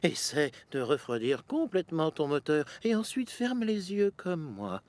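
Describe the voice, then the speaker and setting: Grouchy, sleepy male train for kids' animation: mid-to-high voice, animated, sardonic. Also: a little slow. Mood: grumpy, drowsy. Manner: sardonic grumbling. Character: grumpy, sleepy, proud male steam locomotive. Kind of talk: children's cartoon dub.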